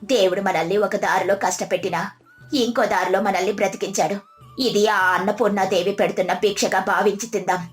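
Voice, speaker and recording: A woman voicing a brave, practical, devout village daughter-in-law in an animated moral story, speaking encouragingly. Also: medium pitch, a little fast, faint music behind, voice pushed down, lightly acted. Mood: grateful, warm.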